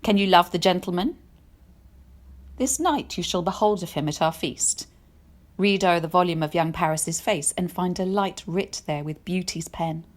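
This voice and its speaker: A woman's voice: on the low side, normal speed, close to an everyday voice. Woman playing a formal Shakespearean noblewoman and mother. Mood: persuasive. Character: formal, commanding noblewoman, mother of a teenage girl. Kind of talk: Shakespearean audio drama acting.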